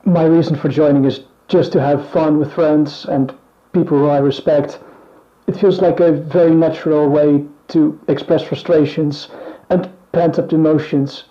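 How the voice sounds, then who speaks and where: A man acting in a scripted mock band interview, speaking in a measured, plain and earnest way. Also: on the high side, steady tone, highs cut off, close to an everyday voice. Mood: calm, earnest.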